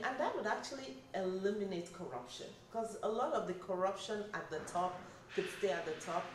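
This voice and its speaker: A woman's voice: medium pitch, darkish tone, far from the mic, slight background noise. A middle-aged woman from Nigeria, earnestly answering an interviewer at a community town hall and listing her points one by one. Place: recreation center, crowd nearby. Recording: roomy hall, voice from off-mic, crowd behind.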